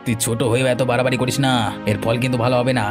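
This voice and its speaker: A man plays a cocky village bully in a cartoon. He talks very fast in an average-pitched voice, taunting and threatening, with faint music underneath. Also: little pitch movement, natural voice. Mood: mocking, menacing. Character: arrogant, mocking village bully, adult man. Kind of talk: Bengali cartoon voice acting.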